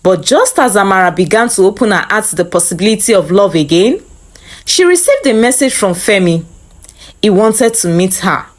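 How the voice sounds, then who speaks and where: A woman reading a scripted dramatic story as narrator. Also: mid-range voice.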